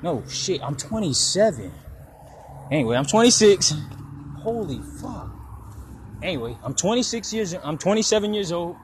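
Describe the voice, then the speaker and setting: Young guy rambling while he walks by a road, cursing a lot, kinda high voice, faint traffic behind him. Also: sing-song, moderate pace, long pauses. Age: 20s. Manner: loose, rambling, swears freely. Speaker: podcaster. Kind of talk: casual personal monologue. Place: outdoors by a road, walking. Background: passing traffic.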